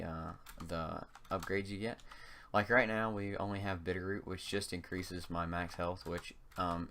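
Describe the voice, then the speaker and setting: A young man from North Carolina with a slight North Carolina Southern accent, casually commenting on his gameplay in a halting way, full of um and uh fillers. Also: sits low, a bit bright, slight background noise. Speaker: gaming channel host.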